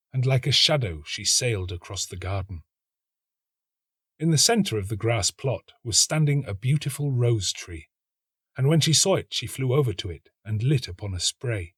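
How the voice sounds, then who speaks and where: A man giving a scripted, measured and expressive storybook narration. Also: medium pitch, husky, dry recording, studio quality. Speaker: actor reading a short story.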